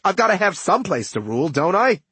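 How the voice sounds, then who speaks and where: A man's voice: high-pitched, very animated, fairly quick, a bit bright, husky, no room sound, pitched above the performer's own voice, full character voice. A man voicing a smug, scheming ghost villain in an audiobook, with breezy, taunting, slangy talk. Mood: smug, gloating.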